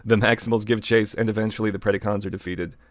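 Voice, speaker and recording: A man's voice: fairly low pitch, steady tone, quick pace, dry recording. A man co-hosting, reading fan fiction aloud and breaking off to comment casually.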